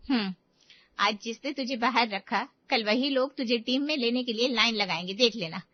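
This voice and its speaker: A woman's voice: a little high, moderate pace, highs cut off, lightly acted. A woman playing a caring middle-aged mother in an audio drama, speaking in a soothing, motherly way. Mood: comforting. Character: caring, reassuring middle-aged Indian mother of two daughters. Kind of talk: Hindi audio drama acting.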